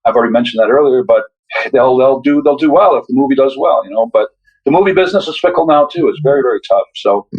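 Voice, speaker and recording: A man's voice: medium pitch, quick pace, husky. Older man reminiscing, slightly muffled. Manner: rambling, with many restarts and reflective asides. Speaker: guest author. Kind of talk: casual interview conversation.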